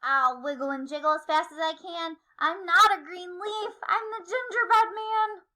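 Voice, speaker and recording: A woman's voice: extremely high pitch, fairly even intonation, normal speed, sharp tone, voice pushed up. A woman voicing a plucky, cheerful, determined little gingerbread man in sing-song rhyme for a children's storybook. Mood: alarmed. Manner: sing-song rhyming exclamations. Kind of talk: children's storybook read-aloud.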